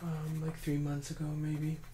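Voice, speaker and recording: A man in his thirties giving quiet, brief, relaxed answers as the patient in a soft-spoken ASMR role-play. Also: a little high, unhurried. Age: 30s. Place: small indoor room. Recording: echoey room with clear background noise.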